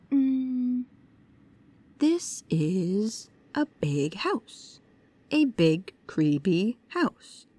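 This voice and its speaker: A woman's voice: mid-range voice, a little mellow, no room sound. A woman playing a bookish, precise and nervous young paranormal investigator, speaking to the listener in an audio roleplay. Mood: flustered, earnest. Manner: pedantic, hesitant, earnest.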